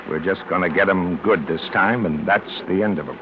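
Casting: male actor for hard-boiled fixer, low voice, commanding and secretive, 1940s radio drama sound. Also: a little fast, lightly acted. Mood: smooth, conspiratorial. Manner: controlled, instructing, terse hard-boiled talk. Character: shady, commanding political fixer arranging a payoff. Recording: dull, slightly noisy vintage radio recording.

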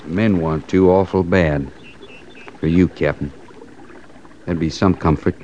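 A man playing a loyal cavalry sergeant with rough, folksy frontier talk in an old radio western. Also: low-pitched, steady tone, measured pace, darkish tone. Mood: glum, loyal. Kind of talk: 1940s-50s radio western acting. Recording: dull, noisy old radio recording.

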